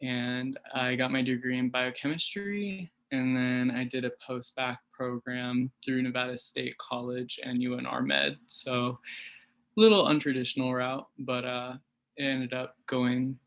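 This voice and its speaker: A young man gives a short, casual introduction over a video call. His voice sits at a middle pitch and barely moves, so he sounds fairly flat throughout. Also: unhurried, creaky, lo-fi sound. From California. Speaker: medical student.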